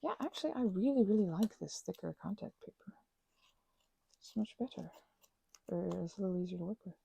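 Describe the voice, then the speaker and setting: A woman chatting casually as she works on crafts at her table, slipping between soft whispers and louder bursts, teasing herself as she goes. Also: medium pitch, lively intonation, fairly quick, creaky, breathy edge, no room sound, poor audio. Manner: chatty, self-deprecating, drifting between whispers and bursts of talk. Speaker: crafting ASMR creator. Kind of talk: rambling craft chat while working.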